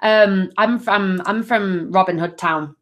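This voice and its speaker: A middle-aged woman from Nottingham, England, giving a live angel card reading in a gentle, soothing, affectionate way, with lots of fillers and endearments. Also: medium pitch, slow.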